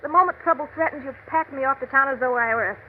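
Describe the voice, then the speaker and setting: An actress playing a stubborn, devoted young ranch wife in an old radio drama, earnest and theatrical. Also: female voice, sits high, very fast, close to an everyday voice. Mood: hurt, defiant. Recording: noisy, tinny vintage radio recording.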